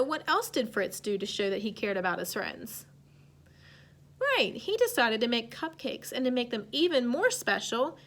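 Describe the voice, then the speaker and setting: Lady teacher w a highish voice, talking to little kids, simple questions, story time lesson. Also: a bit bright. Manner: instructive, asks questions and answers them, simple child-directed phrasing. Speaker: elementary school teacher. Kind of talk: read-aloud lesson addressed to young children.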